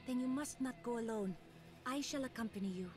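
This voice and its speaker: A high, composed enchantress from a fantasy game acted by a woman, mysterious and protective. She speaks in measured, archaic phrases, like a formal guide, over clearly audible music. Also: lightly acted. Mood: solemn, warning. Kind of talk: fantasy video game voice acting.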